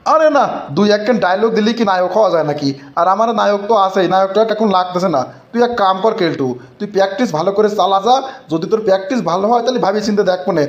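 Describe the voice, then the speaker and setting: A man's voice: high-pitched, racing, muffled recording, close to an everyday voice. A man voicing a hot-tempered older villager in a comic cartoon, emphatic and colloquial, with a rural Bangladeshi accent. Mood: bossy advising.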